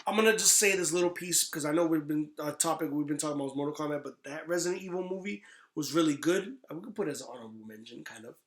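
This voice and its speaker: An echoey, roomy recording of a man with a slightly high voice. He chats casually as a podcast host, using emphatic slang and the odd swear word. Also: rapid-fire, a bit bright. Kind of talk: casual podcast chat about movies and games.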